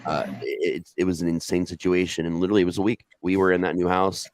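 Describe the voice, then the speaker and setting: A man's voice: low voice, little pitch movement. A man who tells stories, chatting bluntly and profanely on a video call. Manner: blunt and profane, fast-talking. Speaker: storyteller. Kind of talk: casual chat on a video call.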